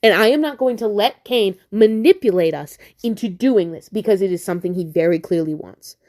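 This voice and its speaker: A woman's voice: medium pitch, expressive, fairly quick, a little mellow. A woman delivering a prepared, persuasive argument in an emphatic, impassioned way with blunt swearing. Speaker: listener sending in a voice message.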